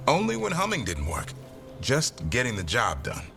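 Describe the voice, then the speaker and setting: An actor playing a proud elf monster hunter in a video game, gruff but fond, bristling when teased. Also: male voice, medium pitch, wildly swooping pitch, moderate pace, pushed and bright, faint music behind, exaggerated voice. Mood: defensive, teasing.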